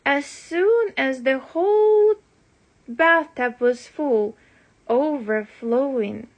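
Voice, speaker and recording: A woman reading a rhyming children's storybook aloud as a parent would, in an expressive, deliberate storytelling manner. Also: high voice.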